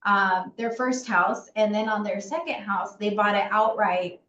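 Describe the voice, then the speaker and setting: A woman in her thirties speaking in a relaxed, reflective way, using plenty of fillers. The sound is a bit dull and carries a roomy echo. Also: mid-range voice, unhurried, slight background noise. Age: 30s. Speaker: client service assistant. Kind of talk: casual interview answers.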